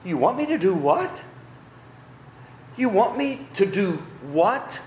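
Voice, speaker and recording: A man's voice: high voice, sing-song, slow, a little mellow, a little hiss or hum, exaggerated voice. A man voicing a proud, indignant foreign army commander who scoffs in disbelief, in an echoey room. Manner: incredulous, scoffing.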